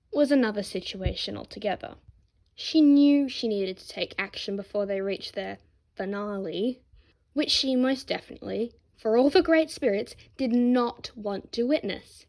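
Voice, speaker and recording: A woman reads fan fiction aloud in a mid-pitched voice. Her narration is expressive and scripted, leaning on dramatic emphasis with a wry edge. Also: creaky, rough recording. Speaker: narrator, amateur podfic reader.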